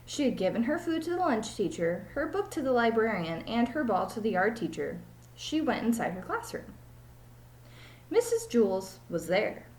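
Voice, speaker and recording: Lively woman reading a children's story. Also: a little high, normal speed. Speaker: teacher reading aloud. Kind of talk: children's storybook read-aloud. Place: small home room, indoors. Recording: echoey room, webcam mic.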